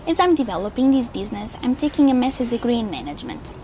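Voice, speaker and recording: A young woman from Lisbon with a Portuguese accent speaks warmly and earnestly. Her voice is mellow and a little high. Faint noise sits behind her. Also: sing-song, fast talker. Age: early 20s. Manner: earnest, grateful. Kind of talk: testimonial video.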